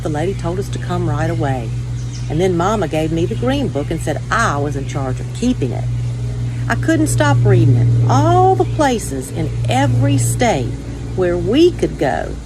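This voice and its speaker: A woman's voice: on the low side, noisy background. A woman reading a children's storybook aloud as a first-person narration. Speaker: story time reader.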